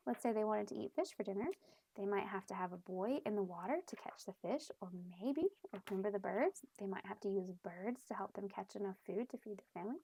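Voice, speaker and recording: A woman reading a storybook aloud expressively for young children, pausing to explain things in simple terms. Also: medium pitch, vocal fry, a little mellow, no room sound, low-quality recording. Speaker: children's read-aloud host.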